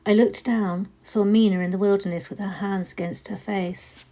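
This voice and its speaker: A woman narrating a story expressively, with dramatic, measured phrasing that is often hushed. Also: medium pitch, fairly even intonation, a little hiss or hum. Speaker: story reader. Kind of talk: expressive audiobook-style narration.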